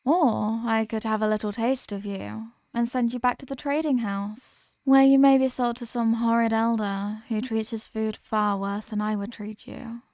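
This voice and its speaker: A woman's voice: fairly high pitch, fairly even intonation, moderate pace, few pauses, a little mellow, no echo, studio quality, close to an everyday voice. A woman playing a possessive, dominant vampire in an ASMR roleplay, speaking in a teasing, condescending manner with formal phrasing. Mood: coolly threatening. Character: possessive, dominant female vampire toying with captive prey. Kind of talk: ASMR roleplay, scripted intimate monologue.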